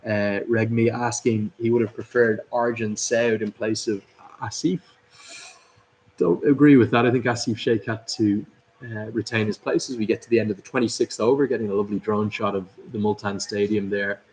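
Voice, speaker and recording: A man's voice: on the low side, fairly even intonation, moderate pace, a little mellow, low-quality recording. A man hosting a live cricket watchalong, commentating and chatting through viewer comments. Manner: chatty, upbeat, reads out viewers' names and greetings. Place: at a cricket club.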